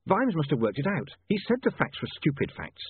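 A man's voice: on the high side, wildly swooping pitch, a little fast, breathy edge, no room sound, pitched above the performer's own voice, exaggerated voice. A man voicing an earnest, frantic, educated young newspaperman with an urgent, insistent manner in an audiobook. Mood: working it out. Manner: urgent, insistent, hesitant at times. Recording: muffled narrow-band, phone-like radio sound.

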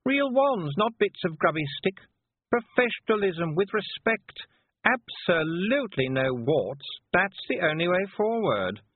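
A man's voice: high voice, very animated, a little slow, husky, dry recording, voice pushed up, heavily acted. A man voicing a bossy, haughty, impatient teenage girl witch in a storybook reading, scolding and condescending. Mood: haughty, superior. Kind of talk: audiobook character voice. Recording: muffled narrow-band phone-like sound.

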